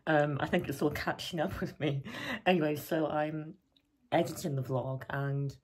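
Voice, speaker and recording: A woman's voice: deep voice, steady tone, moderate pace, a little hiss or hum. A young woman vlogger who is losing her voice, chatting casually and laughing between phrases. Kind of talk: casual aside to camera.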